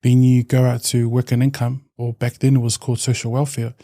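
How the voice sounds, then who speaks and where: Samoan-New man, mellow and reflective. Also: mid-range voice, little pitch movement, fairly quick, dry recording. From New Zealand. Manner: reflective, with many restarts and fillers. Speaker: podcast guest. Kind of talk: casual interview talk.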